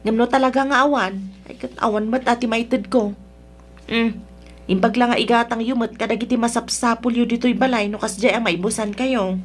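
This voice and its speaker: A woman playing a patient, generous adult daughter in an Ilocano radio drama, gentle and apologetic. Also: on the high side, fairly even intonation, moderate pace, lightly acted.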